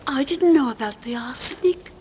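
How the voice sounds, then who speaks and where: An actress playing a prim, watchful housekeeper in 1940s radio suspense style, deferential with pointed, insistent questions. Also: female voice, high-pitched, lively intonation, normal speed, darkish tone. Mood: coolly deferential. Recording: noisy old radio recording.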